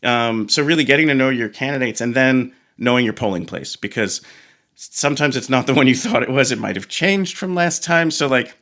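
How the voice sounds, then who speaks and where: A man hosting an interview in a relaxed, encouraging way, with many fillers. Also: medium pitch, brisk. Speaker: show host. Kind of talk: casual interview chat.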